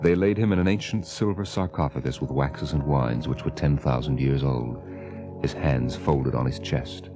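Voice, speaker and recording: A man narrating a 1950s radio drama as host, with measured, dramatic, literary delivery. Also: a bass voice. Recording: vintage 1950 radio recording. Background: music.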